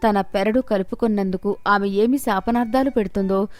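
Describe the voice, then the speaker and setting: A woman's voice: mid-range voice, steady tone, normal speed, a little mellow. A woman delivering scripted narration of a moral story, with music behind her.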